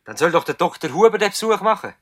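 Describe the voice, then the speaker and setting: An actor playing an overworked, curt but conscientious village doctor in a radio play. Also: male voice, high voice, lively intonation, fast talker, a bit bright. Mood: irritated. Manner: curt, instructing. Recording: noisy old radio play recording.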